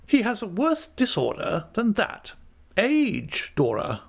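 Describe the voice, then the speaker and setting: An older aunt from a storybook, her voice pitched far up and swooping. She is brisk and emphatic, with a cheerful, affectionate, plain-spoken manner. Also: wildly swooping pitch, gravelly, exaggerated voice. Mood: gently serious.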